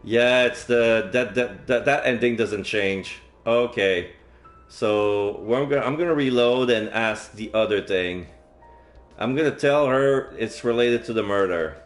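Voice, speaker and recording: A man's voice: medium pitch, a little slow. Male streamer reading a game story aloud, music behind. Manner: dramatic reading mixed with offhand asides. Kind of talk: reading visual novel aloud, casual commentary. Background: video game music.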